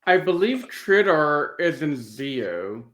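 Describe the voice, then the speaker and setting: A man's voice: fairly high pitch, sing-song, slow pace, fairly bright tone. A man in casual conversation as a podcast co-host, in a roomy, echoey recording. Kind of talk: casual chat.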